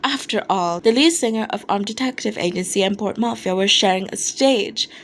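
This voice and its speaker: A woman's voice: medium pitch, normal speed, fairly bright tone. A woman narrating a piece of fan fiction as an amateur reader. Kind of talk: scripted story narration. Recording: noticeable background noise.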